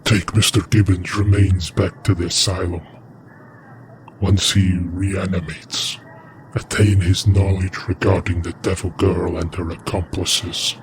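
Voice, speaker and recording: Man as menacing invader lord, very low and deliberate, slight hiss. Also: flat delivery. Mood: cold, menacing. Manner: slow, commanding, menacing. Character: cold, cruel male lord of an invading realm. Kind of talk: audio drama acting.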